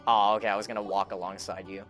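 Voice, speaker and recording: A man's voice: lowish voice, flat delivery, fast talker, a bit bright, faint music behind. A man livestreaming a game, chatting casually and rambling as he muses aloud to his viewers. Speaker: game streamer. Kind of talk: casual livestream chat while playing.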